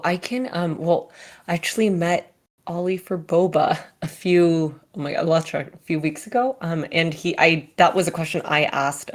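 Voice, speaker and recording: Woman, company head at a Q&A, low voice, casual, restarts often. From California. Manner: chatty, many fillers and restarts. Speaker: company CEO answering questions.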